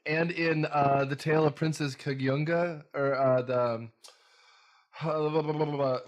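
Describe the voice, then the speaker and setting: Slightly high-voiced guy ranting about a movie on a podcast, jokey, excitable, voice drops off at the ends. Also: measured pace. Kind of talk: casual movie-review banter.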